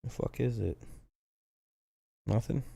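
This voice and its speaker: A man's voice: medium pitch, slow pace, gravelly, dark tone, husky, dry recording. A man in his twenties chatting casually on a livestream, rambling and profane, often stopping to restart his sentences. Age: 20s. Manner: rambling, profane, self-interrupting. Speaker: livestream host.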